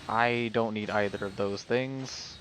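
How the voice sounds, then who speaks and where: A man's voice: fairly low pitch, fairly even intonation, slow, fairly bright tone, a little hiss or hum, rough recording. A man streaming a video game, giving casual live commentary and thinking aloud as if talking to himself. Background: video game music.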